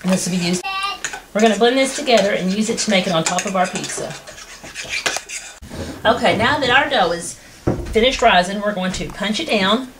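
A woman's voice: medium pitch, expressive. Homey woman demonstrating a cooking recipe. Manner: plain, homey, unhurried explaining. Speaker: home cooking show host. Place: rustic wooden kitchen indoors. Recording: noisy home video with kitchen clatter.

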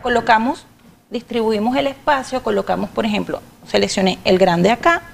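Gravelly middle-aged woman demonstrating catering. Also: fairly high pitch, fairly even intonation, slight background noise. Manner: halting, restarts while working with hands. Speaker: catering expert guest. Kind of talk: demonstrating while explaining. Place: TV studio kitchen set. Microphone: lapel mic.

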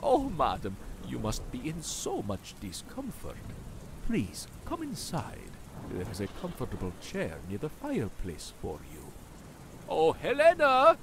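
A man's voice: medium pitch, wildly swooping pitch, unhurried, noisy background. A man playing a courteous, grand and menacing aristocratic manor host in a horror radio play, effusive and theatrical.

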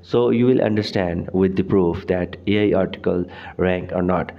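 A man whose first language is Urdu gives a screen-recorded tutorial, halting often with fillers and restarts. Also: low-pitched, gravelly, highs cut off. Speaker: tutorial host.

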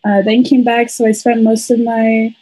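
A woman's voice: a little high, level tone, moderate pace, dark tone, breathy edge, poor audio. A woman in her late teens from Sweden, co-hosting a podcast with a casual introduction. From Sweden, some years in Canada. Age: around 18-20. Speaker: podcast co-host.

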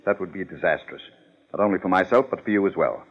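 A pompous, evasive gentleman villain in a vintage radio mystery. He speaks rather low, with fastidious hesitations. The 1950s recording sounds muffled. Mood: fastidious, hesitant. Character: prissy, evasive, respectable male philanthropist villain. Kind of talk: 1950s radio drama acting.

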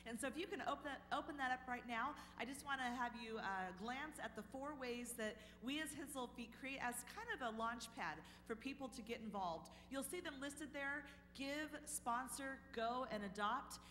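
A fairly high-voiced woman addresses a church congregation in a relaxed, informal way. Her voice rings through a large reverberant sanctuary over a faint hum. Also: normal speed, few pauses. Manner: friendly, informal, with fillers. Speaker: ministry speaker at church service. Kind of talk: church announcement.

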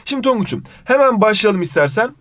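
A man's voice: high-pitched, big pitch swings, fast talker, fairly bright tone, no echo. A man with a Turkish accent teaching an English lesson in a jokey way, playfully scolding as he goes. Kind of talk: scripted lesson with playful banter.

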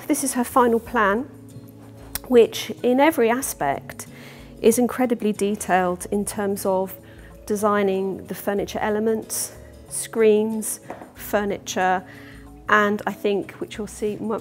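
A woman's voice: mid-range voice, steady tone, measured pace, faint music behind. A woman speaking on behalf of a student, presenting the student's plan with enthusiasm in an ornate synagogue hall. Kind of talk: presenting another's work.

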